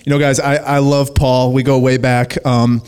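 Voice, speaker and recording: A man's voice: mid-range voice, little pitch movement, normal speed, darkish tone, clear, resonant tone. An American man, a guest pastor, preaching conversationally to students in a casual, joking way with youthful slang. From United States, Illinois.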